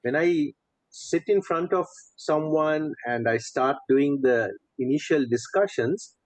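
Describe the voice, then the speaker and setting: A man's voice: fairly high pitch, animated, slow pace, no echo. A middle-aged man with a Sri Lankan accent, talking conversationally about himself as an interview guest. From Sri Lanka and Saudi Arabia. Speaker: podcast guest, coach. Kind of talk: conversational personal account in an interview. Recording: dull, lo-fi, dry audio.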